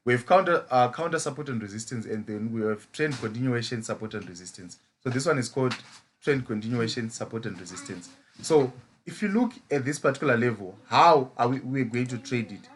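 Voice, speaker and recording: A man's voice: medium pitch, lively intonation, a little fast, breathy edge. A man from Zimbabwe with a Zimbabwean English accent, a trading mentor who explains chart patterns in a live lesson and keeps asking rhetorical "as a what?" questions.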